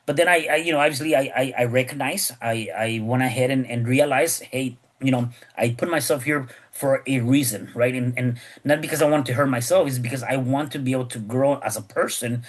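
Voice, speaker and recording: A man's voice: medium pitch, fairly quick, hardly any pauses. A man hosting a podcast, talking casually and rambling with many repeated words and restarts. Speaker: podcast host.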